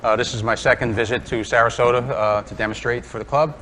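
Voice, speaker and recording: A man's voice: medium pitch, fairly even intonation, quick pace, sharp tone, a little hiss or hum. A man in his sixties from Brooklyn with a New York accent, giving a chatty, self-deprecating woodturning demonstration talk to a club audience, full of ums and uhs. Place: workshop or club room with an audience.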